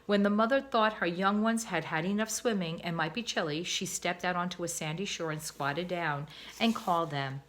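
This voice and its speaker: A woman's voice: lowish voice, normal speed, hardly any pauses, fairly bright tone. A woman teacher reading a children's novel aloud, careful with small slips. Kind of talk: storybook read-aloud.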